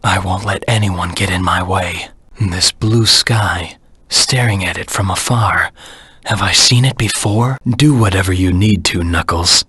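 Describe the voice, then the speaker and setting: A man voicing a brooding, defiant antihero creature in a video game, grave and menacing, with a gravelly rasp. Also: low-pitched, a little slow, pushed and bright, dry recording, heavily acted. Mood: cold defiance, then brooding, intent. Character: brooding, defiant adult male antihero creature, cold and determined. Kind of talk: dramatic game voice acting.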